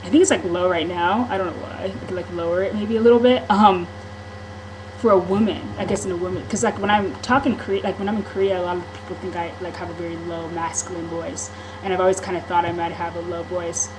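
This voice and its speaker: A woman speaks to a camera microphone in a casual vlog, her voice sitting a little low. She talks candidly and rambles, with frequent ums and restarts. Clear background noise runs under the home-video recording. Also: steady tone, fast talker. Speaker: vlogger. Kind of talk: casual personal vlog monologue.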